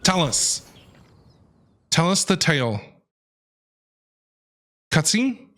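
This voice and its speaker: Bright, animated male game streamer. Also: a little high, drawn-out words. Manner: exclamatory, enthusiastic, talks back to the game. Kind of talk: excited casual live reaction commentary.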